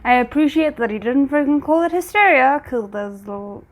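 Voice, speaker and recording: A woman giving casual, chatty, expressive reaction commentary on a TV show, often breaking off mid-thought. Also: sits high, normal speed. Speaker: reaction host.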